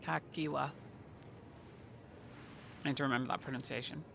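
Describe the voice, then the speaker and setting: A man's voice: medium pitch, steady tone, normal speed, gravelly, noisy background. A man streaming a game and chatting over it with joking asides. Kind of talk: live game stream commentary.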